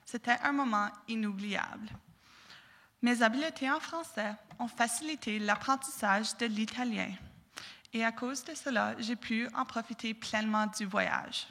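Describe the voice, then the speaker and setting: A woman's voice: fairly high pitch, pushed and bright. A young woman from southwestern Ontario with a southwestern Ontario French accent, giving an earnest prepared talk on stage as a student speaker. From southwestern Ontario, Canada. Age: young adult. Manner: earnest, declamatory with occasional restarts. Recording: long hall reverb.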